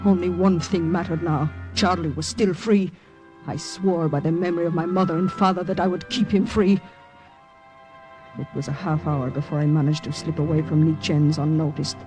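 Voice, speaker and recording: A tense woman spy played by an actress tells her story in vintage radio thriller style. She speaks with grave, deliberate drama. Music runs behind her on the old broadcast recording. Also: low voice, fairly even intonation, natural voice. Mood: grave, suspenseful. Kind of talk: 1940s-50s radio drama acting and first-person narration.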